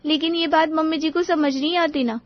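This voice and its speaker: An exasperated young daughter-in-law in a family cartoon, played by a woman. She grumbles and pleads in a high, whiny voice. The sound is dry and a bit dull. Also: steady tone, fairly quick, no room sound, natural voice. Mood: exasperated, weary. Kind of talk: Hindi cartoon story voice acting.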